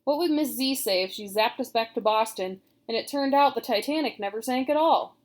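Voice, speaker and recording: A woman's voice: on the high side, a little fast, close to an everyday voice. A woman reading aloud, voicing an earnest, principled young boy who speaks seriously.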